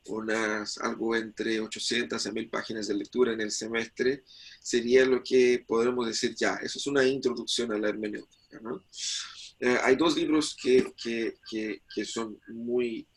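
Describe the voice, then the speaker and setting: Husky Brazilian-born man lecturing. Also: medium pitch, steady tone, unhurried. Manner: hesitant, many fillers and restarts. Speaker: Bible teacher. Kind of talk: online class lecture.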